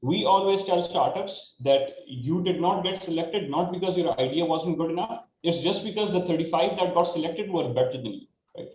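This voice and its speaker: A man with a Pakistani accent giving informal panel advice, haltingly repeating words and often checking in with "right?". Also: fairly high pitch, darkish tone. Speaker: panelist, startup incubator staff. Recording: roomy, echoing call audio.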